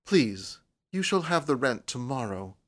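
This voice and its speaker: A man voicing a proud, reserved young woman writer in an audiobook, soft-spoken and cool. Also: a little high, sing-song, unhurried, vocal fry, voice pushed up, exaggerated voice. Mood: cool, subdued. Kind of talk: audiobook character voice.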